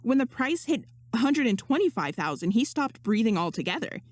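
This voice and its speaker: A woman reading fan fiction aloud as narrator, in an expressive audiobook style. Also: on the high side, a little fast, no room sound. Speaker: podfic narrator.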